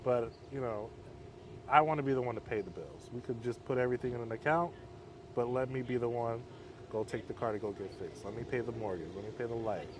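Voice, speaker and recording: A man speaking as an interview guest, a halfway home counselor, in a calm, measured, fairly monotone way. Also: mid-range voice, crowd noise, rough recording. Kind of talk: casual conversation. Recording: outdoor street noise.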